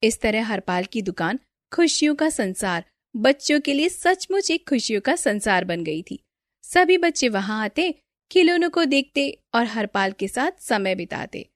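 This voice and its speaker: Animated, high-voiced woman storyteller. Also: a little slow, dry recording, studio quality. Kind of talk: scripted narration of children's moral story.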